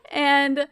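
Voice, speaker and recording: A woman's voice: sits high, a bit bright, dry recording, clean studio sound. A woman chatting casually and playfully as a player in a tabletop game.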